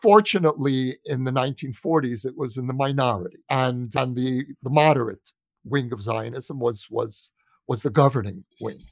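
Deliberate American man, close dry sound. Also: mid-range voice, vocal fry, no echo. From United States. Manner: deliberate, emphatic, with repeated words and pauses for weight. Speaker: interview guest, journalist and author. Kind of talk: reflective conversational argument in an interview.

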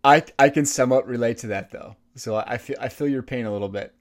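A man hosting a podcast, asking quick questions and reacting with upbeat interjections. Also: mid-range voice. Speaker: podcast host.

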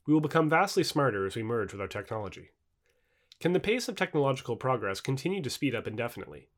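Man narrating nonfiction with lively pitch. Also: quick pace. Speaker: audiobook narrator. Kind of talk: scripted nonfiction narration, read aloud.

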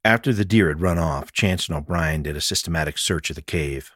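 A man's voice: deep voice, brisk, a bit bright, slightly rough, no room sound, clean studio sound. A man reading scripted mystery fiction aloud as an audiobook narrator. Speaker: author reading own audiobook.

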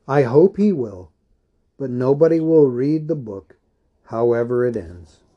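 A man's voice: mid-range voice, animated, a little slow, muffled recording, lightly acted. A man voicing an old male wizard in a storybook reading, grave, watchful and patient, with a thoughtful, firm manner. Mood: wry.

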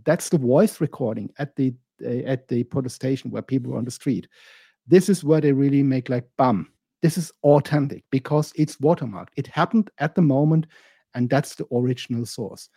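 Husky mellow-voiced podcast man. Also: mid-range voice, no room sound. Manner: searching for words, animated and emphatic. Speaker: podcast co-host. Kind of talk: casual podcast discussion.

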